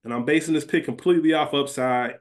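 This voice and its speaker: A man's voice: fairly high pitch, quick pace. An American man co-hosting a podcast in casual, bantering chat. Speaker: podcast co-host.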